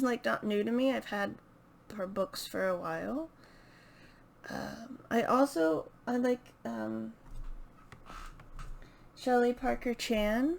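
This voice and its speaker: A woman's voice: a little high, vocal fry, a little mellow, a little hiss or hum. A woman chatting casually and unscripted as the host of a video channel, hesitant and thinking aloud as she goes. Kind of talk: casual unscripted book chat to camera.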